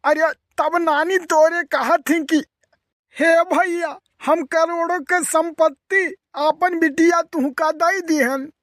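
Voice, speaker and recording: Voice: extremely high pitch, steady tone, slow pace, a bit bright, no echo, clean studio sound, exaggerated voice. A performer voicing a teasing, grumbling cartoon village uncle with a rustic eastern Uttar Pradesh accent and exclamatory, colloquial delivery. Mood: indignant storytelling. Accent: eastern Uttar Pradesh (Awadhi). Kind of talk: rustic comedy cartoon dialogue.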